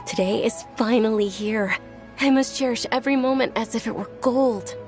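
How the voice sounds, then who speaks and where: A woman's voice: fairly high pitch, unhurried, lightly acted. A woman playing a polite, earnest, well-bred young lady in a video game, slightly hesitant. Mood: wistful, sincere. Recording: music behind the voice.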